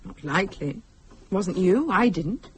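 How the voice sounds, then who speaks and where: A woman acting in a radio drama as an uneasy, sharp-witted wife, earnest and questioning. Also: medium pitch, big pitch swings, measured pace, a little hiss or hum. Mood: reasoning.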